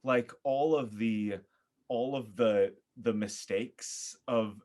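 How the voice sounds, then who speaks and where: A man with a medium-pitched voice talks casually as a guest on a podcast. He is expressive and a little theatrical in how he puts things, in an informal conversational style. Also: slow. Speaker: former actor.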